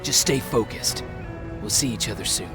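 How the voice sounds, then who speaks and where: An actor playing a wary, terse, battle-worn resistance soldier in a video game. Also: male voice, fairly low pitch, moderate pace, loud music behind the voice, lightly acted. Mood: low, guarded. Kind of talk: dramatic video game acting.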